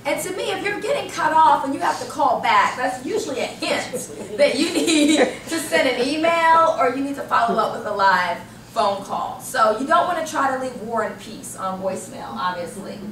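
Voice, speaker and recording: An American woman leading an interactive workshop as a corporate trainer, animated and emphatic, often posing questions and answering them herself. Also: high-pitched, moderate pace, hardly any pauses. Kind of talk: interactive workshop presentation to a group. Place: indoor training room. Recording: roomy, distant microphone with background noise.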